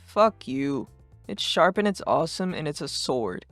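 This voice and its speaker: A performer voicing a brash, sarcastic, too-cool teenage boy who rants and swears with deadpan cool in a fan-dubbed comic. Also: mid-range voice, unhurried, no room sound. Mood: scornful ranting. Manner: foul-mouthed, ranting, deadpan cool. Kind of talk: fandub of a webcomic chat. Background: music.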